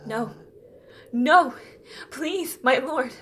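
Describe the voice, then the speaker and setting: A woman's voice: high voice, animated, drawn-out words, fairly bright tone, slightly rough, full character voice. A woman playing a haughty siren empress in a fantasy horror audio drama, speaking in an imperious, theatrical, formal way. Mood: desperate.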